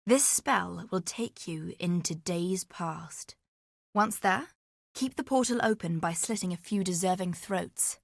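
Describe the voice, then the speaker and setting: Wry, adventurous treasure hunter, dry sound. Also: female voice, fairly low pitch, no room sound. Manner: theatrical storytelling with dry, sardonic asides. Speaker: video game character voice actor. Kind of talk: scripted game character dialogue.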